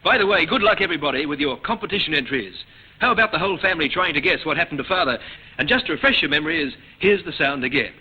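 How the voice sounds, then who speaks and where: A man's voice: a little high, lively intonation, fast, sharp tone. A man hosting an old-time radio riddle show for children in front of an audience. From Australia. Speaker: radio quiz show host. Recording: noisy, dull old radio recording with audience. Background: studio audience, heavy noise.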